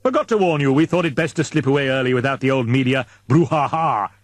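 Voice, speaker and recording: A man voicing a fatherly, authoritative male expedition leader in an audiobook, booming and pompous, with wordy formal speech. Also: expressive, fast talker, no room sound, natural voice. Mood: breezy, condescending. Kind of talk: audiobook character dialogue.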